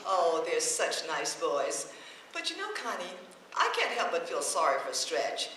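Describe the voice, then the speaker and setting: In a very echoey old radio comedy recording, a scatterbrained older landlady with a bright, animated voice. She is kindly and chatty, dithering along and easily sidetracked. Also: lowish voice, measured pace, slight background noise, full character voice. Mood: chatty, admiring. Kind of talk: vintage radio comedy acting before a laughing audience.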